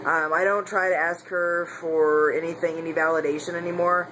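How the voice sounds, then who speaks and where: A man's voice: fairly high pitch, level tone, a little fast, pushed and bright, slight background noise, poor audio. A man talking candidly in a casual personal vlog, rambling with frequent restarts and self-corrections. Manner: candid, confessional. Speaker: vlogger.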